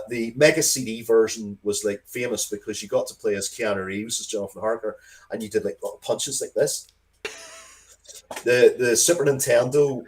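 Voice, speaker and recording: A middle-aged man with a fairly low voice talks casually in an interview. He rambles through his stories with enthusiasm and swears freely, like a creator chatting about his own work. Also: poor audio. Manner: sweary, rambling, animated storytelling. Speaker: interview guest, game and comics creator.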